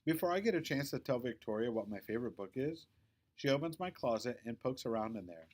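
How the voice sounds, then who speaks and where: A man with a mid-pitched voice narrates a children's story. His reading is very animated, with expressive, dramatic phrasing and wide movement in pitch. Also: a little fast. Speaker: audiobook-style reader.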